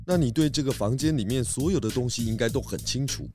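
An actor playing a calm, methodical detective chief with measured, polite, reassuring questioning. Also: male voice, medium pitch, lightly acted. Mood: probing. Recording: dry close voice over faint music.

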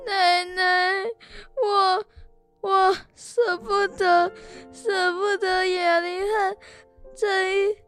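A woman's voice: very high voice, flat delivery, very slow, fairly bright tone, soft background music, exaggerated voice. A young female performer acting a tender-hearted, loyal young boy in a radio drama, with a Taiwanese Mandarin accent. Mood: tearful. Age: young adult.

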